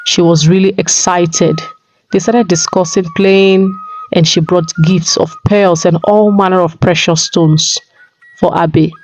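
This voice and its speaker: A woman's voice: fairly low pitch, fairly even intonation, measured pace, rough recording. A woman storyteller reading a scripted folktale narration. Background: music.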